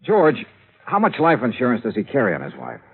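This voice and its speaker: An actor playing a wry, hardboiled insurance investigator in a 1950s radio drama, with a clipped, sardonic, deadpan delivery. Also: male voice, mid-range voice, brisk, vocal fry, husky, heavily acted. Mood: wry, questioning. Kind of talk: 1950s radio detective acting and narration. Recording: dull old radio recording with music.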